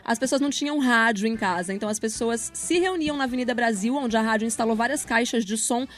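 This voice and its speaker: A woman's voice: a little high, fast. A woman in her thirties with a Brazilian accent, talking casually as an interview guest and explaining things in a chatty way with examples. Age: 30s. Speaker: radio professional, interview guest.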